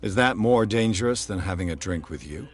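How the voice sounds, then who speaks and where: A man's voice: lowish voice, lively intonation, a little fast, husky. A man voicing a dry, blunt, sardonic male detective. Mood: wry.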